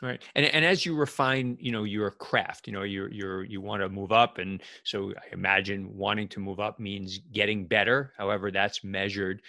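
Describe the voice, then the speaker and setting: Gravelly, bright-voiced male interviewer. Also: fairly low pitch, fairly even intonation, no echo, clean studio sound. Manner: hesitant, halting phrasing with many filler phrases. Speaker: podcast host, interviewer. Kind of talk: casual interview questions.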